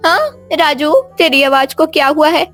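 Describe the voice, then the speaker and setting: Woman as an anxious, high-voiced mother. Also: fairly even intonation, normal speed, a bit bright, soft background music. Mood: relieved, concerned. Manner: fussing, emotional. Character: anxious, loving mother of a young boy.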